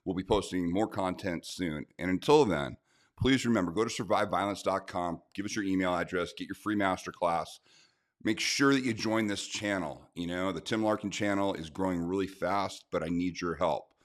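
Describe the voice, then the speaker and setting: A man's voice: deep voice, moderate pace. A male host wrapping up an interview segment in an upbeat, promotional manner. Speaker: show host. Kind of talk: interview host and channel outro.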